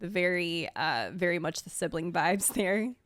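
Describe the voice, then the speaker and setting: A woman's voice: lowish voice, normal speed, fairly bright tone, dry recording. A woman hosting in a casual, giggly way, giving enthusiastic reaction commentary as she goes through a ranking. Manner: gushing, enthusiastic. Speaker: video host and streamer.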